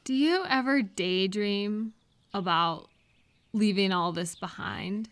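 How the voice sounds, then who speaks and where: A woman playing a kind, caring friend in a comfort roleplay audio, speaking gently and reassuringly with soft questions. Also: mid-range voice, slow pace, smooth. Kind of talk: comfort audio roleplay, one-sided conversation.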